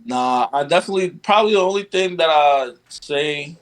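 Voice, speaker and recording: Young male podcast guest, originally from Guyana; relaxed, filler-heavy conversational delivery. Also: fairly high pitch, normal speed. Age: young adult. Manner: relaxed, lots of fillers like "you know what I'm saying". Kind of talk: casual conversation.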